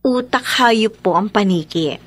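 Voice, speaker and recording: A woman playing a brave, headstrong young policewoman in a radio drama, expressive and assertive. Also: mid-range voice, measured pace. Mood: defiant. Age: young adult.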